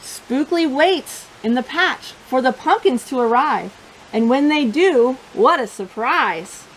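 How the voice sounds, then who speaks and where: Animated, high-pitched woman reading to children. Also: slow pace, slight background noise, poor audio. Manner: playful, sing-song, expressive, addressing children directly. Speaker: children's storytime host. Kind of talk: animated picture-book reading for young children.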